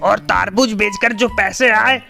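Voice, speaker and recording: A man voicing a scheming, greedy male owl in a children's cartoon, with a gravelly, sharp voice and an emphatic, theatrical delivery. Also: high-pitched, fairly even intonation, measured pace, soft background music, heavily acted. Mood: reassuring.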